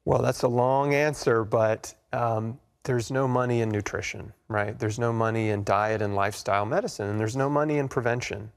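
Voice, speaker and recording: A male interview guest with a medium-pitched voice and noticeable vocal fry. He explains his points plainly and earnestly, in the conversational style of a talk show. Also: steady tone, normal speed, hardly any pauses. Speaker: interview guest, health author.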